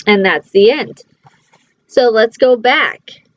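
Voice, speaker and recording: A woman's voice: on the high side, expressive, slow, dry recording, dull audio. A woman reading a picture book aloud to young children like a teacher, expressively, with simple chatty asides. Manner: simple, child-directed phrasing.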